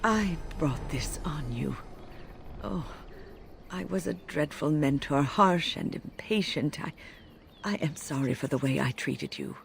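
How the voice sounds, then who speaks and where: An actress playing a dignified older woman mage in a game, grave and formal with a deliberate delivery. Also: deep voice, lively intonation, gravelly, slight background noise, heavily acted. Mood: remorseful. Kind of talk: dramatic game cutscene acting.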